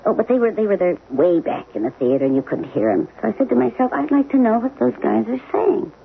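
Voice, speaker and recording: A woman's voice: medium pitch, animated, fast talker, vocal fry, slight background noise. An actress playing a wry, plain-spoken middle-aged wife and former showgirl in a radio drama. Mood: wry, confiding. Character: frank, wounded middle-aged wife, former chorus girl. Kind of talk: 1970s radio drama acting. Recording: lo-fi phone-like old radio broadcast, music behind.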